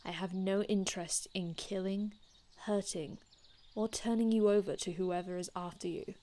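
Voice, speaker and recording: A woman playing an aloof, formal male vampire healer from another time in an ASMR roleplay, speaking in a measured, haughty, hushed manner with archaic phrasing. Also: medium pitch, fairly even intonation, a little hiss or hum, natural voice. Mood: weary, reassuring. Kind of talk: ASMR roleplay, scripted in-character monologue.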